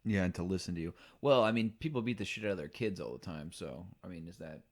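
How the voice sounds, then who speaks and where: A man's voice: low-pitched, animated, quick pace. A young man co-hosting a podcast in casual chat, laid-back, often laughing and trailing off mid-sentence. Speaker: podcast co-host.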